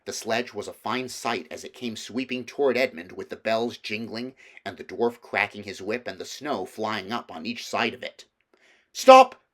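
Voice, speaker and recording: A man's voice: on the low side, moderate pace, hardly any pauses, fairly bright tone. A man reading a children's novel aloud as scripted storybook narration. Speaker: audiobook reader.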